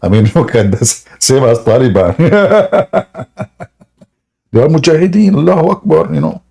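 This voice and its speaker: A man hosting a live debate stream in a scoffing, jokey way, laughing often and clearing a sore throat. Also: fairly high pitch, animated, measured pace, dark tone. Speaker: livestream debate host. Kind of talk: mocking live debate chat.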